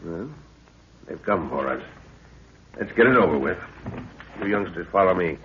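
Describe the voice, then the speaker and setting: A man acting a middle-aged, commanding, vengeful father who leads a jungle expedition in a 1930s radio adventure, gruff and authoritative. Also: low-pitched, expressive, normal speed, heavily acted. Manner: gruff, authoritative, clipped orders. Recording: muffled, noisy 1930s radio recording.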